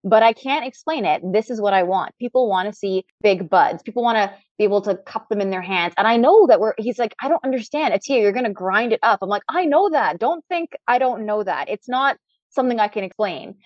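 Fast-talking woman scientist guest. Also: mid-range voice, expressive, no echo, muffled recording. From Trinidad, raised in Canada. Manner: chatty, lots of "like" fillers. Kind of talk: casual podcast interview.

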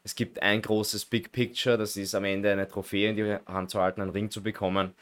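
A man's voice: lowish voice, flat delivery, brisk, a bit bright. A man in his late twenties from Vienna with a Viennese accent, chatting casually as an interview guest. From Vienna, Austria. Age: late 20s. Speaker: American football player, interview guest. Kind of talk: casual chat.

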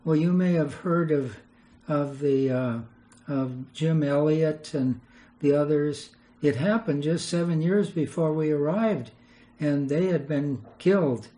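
Older guy telling missionary stories, mellow and a bit high voiced, chuckles a lot. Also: a little slow, low-quality recording. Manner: chuckling, rambling, relaxed anecdotes. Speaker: retired missionary guest. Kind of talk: storytelling interview.

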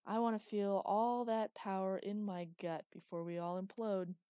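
Woman doing a crude gleeful bloodthirsty guy, loud and taunting, dry close mic. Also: medium pitch, steady tone, normal speed, smooth, no room sound, natural voice. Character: reckless bloodthirsty young man, gleeful and crude.

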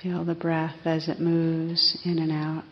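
A woman teaching a guided meditation in a gentle, unhurried way, pausing between phrases. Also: low-pitched, completely flat delivery. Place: live evening group session. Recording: slightly muffled live recording, faint hiss.

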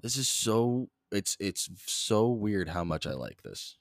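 Low, monotone young man ranting solo. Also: slow, dry recording, clean studio sound. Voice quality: monotone, heavy swearing. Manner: rambling, jumps between topics. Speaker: podcast host. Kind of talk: solo podcast rant. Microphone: close to the mic.

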